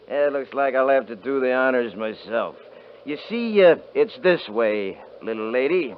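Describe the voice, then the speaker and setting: A man's voice: medium pitch, very animated, takes it slowly. An actor playing a cocky rancher who mocks and bullies his family in a 1950s radio drama. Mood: mocking storytelling. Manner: mocking, bullying, laughing at others. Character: cocky, taunting rancher brother Kurt. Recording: muffled vintage radio recording with faint hiss.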